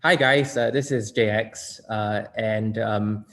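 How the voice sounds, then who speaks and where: A man's voice: lowish voice, steady tone, takes it slowly, fairly bright tone. A man from Singapore with a Singaporean accent, answering as a panelist in a conversational way, with frequent hesitant fillers.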